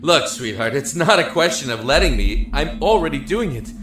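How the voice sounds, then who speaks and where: An actor playing a stubborn, lovesick young prisoner in an audio drama, earnest and pleading, with a stammer at times. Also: male voice, fairly high pitch, expressive, moderate pace, a bit bright. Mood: stubborn, teasing. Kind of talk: audio drama acting with reflective narration. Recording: roomy echo with music underneath.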